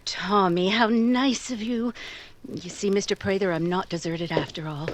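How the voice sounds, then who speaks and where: A woman acting a gentle, lonely and quietly wounded young woman in a 1940s style radio drama. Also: mid-range voice, fairly even intonation, moderate pace, natural voice. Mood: warm, flustered. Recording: clearly noisy recording with clicks.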